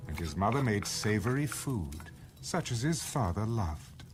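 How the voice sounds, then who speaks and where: A man narrating a dramatised audio Bible as scripted storytelling, with measured phrasing. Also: lowish voice, sing-song. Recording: background music under the voice.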